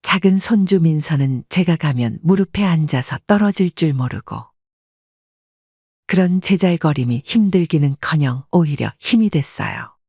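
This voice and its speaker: Husky older widow voiced by a woman, wistful. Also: deep voice, lively intonation, moderate pace, darkish tone, dry recording, highs cut off, exaggerated voice. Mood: wistful, aggrieved. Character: sorrowful 68-year-old widowed restaurant-owner grandmother. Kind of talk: scripted first-person story narration.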